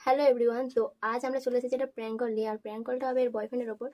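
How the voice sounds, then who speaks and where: A woman's voice: on the high side, flat delivery, very fast, highs cut off. A young woman chatting casually as the host and caller of a prank call. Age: young adult.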